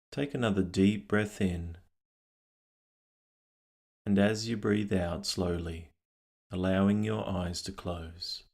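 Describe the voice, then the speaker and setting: Very deep-voiced man guiding meditation calmly. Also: vocal fry. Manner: calm, gentle, unhurried with long pauses. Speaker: meditation guide. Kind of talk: guided meditation, scripted narration.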